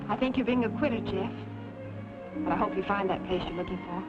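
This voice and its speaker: A woman's voice: medium pitch, fairly quick, close to an everyday voice. A spirited young woman of the old West, played by an actress, direct and outspoken in radio-drama style. The old recording is dull, with music behind her voice. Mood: tender. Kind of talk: old-time western radio drama acting.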